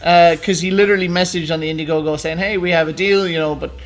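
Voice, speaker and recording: Guy with a slightly high voice, casual podcast banter, very informal. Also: steady tone, fast. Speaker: podcast co-host. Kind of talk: casual chat.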